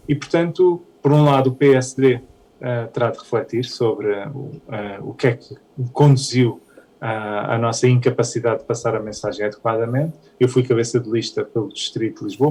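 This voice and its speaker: A man from Canada, a politician, giving measured, analytical comments on an election result, with frequent hesitations. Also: fairly low pitch, darkish tone. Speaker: politician interviewee.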